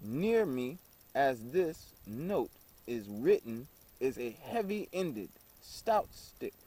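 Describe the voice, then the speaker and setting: A man reading an old book aloud in a halting way, stumbling over words and repeating phrases. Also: medium pitch, big pitch swings, warm tone, slightly rough. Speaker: reader.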